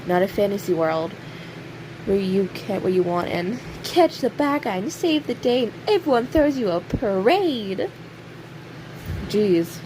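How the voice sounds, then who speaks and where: A woman acts out a cocky, sardonic serial killer behind bars in an audio roleplay. The voice sits at a middling pitch and drawls slowly, teasing and sarcastic, leaving pointed pauses. A clear, steady noise runs behind it. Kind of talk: audio roleplay acting, addressing an unheard listener. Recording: steady clear background hiss or hum.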